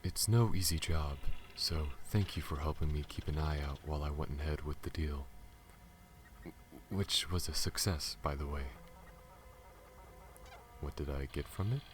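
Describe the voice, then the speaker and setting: A man playing a guarded, protective alien smuggler pilot in an ASMR audio roleplay, earnest and halting, speaking to the listener. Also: a bass voice. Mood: caring, relaxed. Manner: halting, stammers when flustered, earnest. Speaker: voice actor. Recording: audible background noise.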